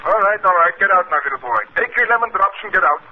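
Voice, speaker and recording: A man's voice: sits high, expressive, fast. An actor playing a brusque male shopkeeper in a 1950s radio drama, condescending and taunting. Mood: impatient, mocking. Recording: very noisy narrow-band old radio recording.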